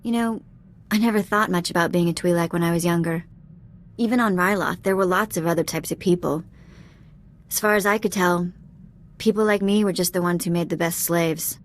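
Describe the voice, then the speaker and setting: A woman playing a cheeky, warm-hearted young alien ex-thief in a video game, speaking in a casual, candid, slangy way. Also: fairly low pitch, fairly even intonation, brisk, natural voice. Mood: candid, reflective. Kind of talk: video game dialogue acting.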